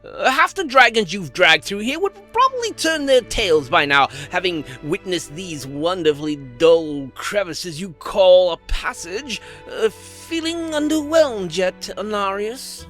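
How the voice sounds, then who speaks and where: A man voicing a cocky, flippant dragon assassin and family dad in an animated fantasy series, with sarcastic, stammering banter. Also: high voice, a little slow, few pauses, soft background music, exaggerated voice. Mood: sardonic.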